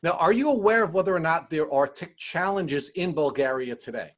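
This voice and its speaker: A man's voice: fairly high pitch, lively intonation, a little fast, fairly bright tone. A man hosting an interview podcast, reading prepared introductions and putting questions to a guest.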